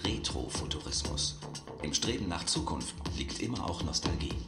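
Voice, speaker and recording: A solemn, pretentious essay-film narrator with a very low voice and swooping intonation. He declaims theory in an echoey room, with music clearly behind him. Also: lively intonation, normal speed. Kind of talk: scripted essay film voice-over.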